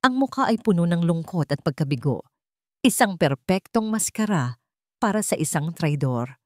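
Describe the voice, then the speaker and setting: Female audio-drama narrator, lowish pitch, measured dramatic pacing, very dry close sound. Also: expressive, darkish tone, no echo. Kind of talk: dramatic scripted story narration.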